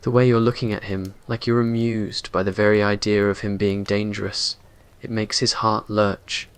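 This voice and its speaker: A man's voice: lowish voice, flat delivery, normal speed, clear, resonant tone. A man reading scripted romantic roleplay narration in an ASMR story style.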